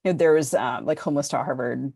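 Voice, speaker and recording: A woman hosting a podcast, talking casually about books in a halting way with lots of filler words. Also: a bass voice, vocal fry, dark tone, breathy edge, no room sound, studio quality. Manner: halting, filler-heavy, frequent "like". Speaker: podcast host. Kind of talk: casual literary discussion.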